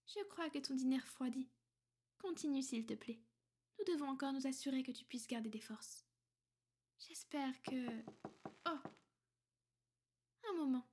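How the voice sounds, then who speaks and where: A woman voicing a powerful, protective, ancient vampire noblewoman in an ASMR roleplay, soft-spoken and breathy, formal and measured, slipping into whispers. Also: sits high, exaggerated voice. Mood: composed, apologetic. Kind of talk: French ASMR girlfriend roleplay.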